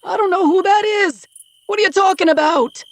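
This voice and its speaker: A woman voicing a panicked older captive father who shouts and pleads, denying everything. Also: extremely high pitch, extremely slow, voice pushed up, full character voice. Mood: frantic denial. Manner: desperate, shouting, protesting.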